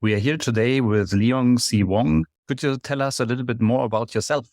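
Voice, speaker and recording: A man's voice: medium pitch, normal speed, darkish tone, no echo, studio quality, soft background music. A man hosting a business podcast, speaking in formal, scripted phrasing as he introduces and interviews. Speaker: podcast host.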